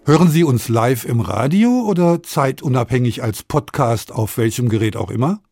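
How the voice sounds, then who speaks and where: Male radio host, mid-pitched voice. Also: animated, moderate pace, creaky, a little mellow, soft background music. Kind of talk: scripted radio moderation and interview. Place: radio studio.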